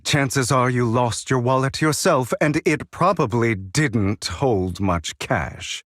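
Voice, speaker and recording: An actor playing a suave, sly gentleman in a video game, courteous and teasing, with elegant, theatrical delivery and drawn-out words. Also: medium pitch, no echo, clean studio sound. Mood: cool, cutting.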